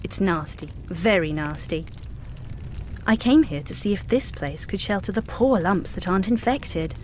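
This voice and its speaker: A woman playing a wry, bossy young mistress in a fantasy video game, with a brisk and arch delivery. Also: on the low side, lively intonation, darkish tone, slight background noise, lightly acted. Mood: disdainful, businesslike. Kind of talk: fantasy game character dialogue. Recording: game music under the voice.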